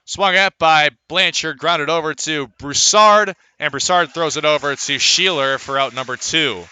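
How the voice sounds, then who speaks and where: A man's voice: fairly high pitch, unhurried, sharp tone, clear, resonant tone, no echo. A man calling baseball live as a radio play-by-play announcer, fluently reciting stats and lifting his delivery on each play. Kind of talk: live sports play-by-play commentary.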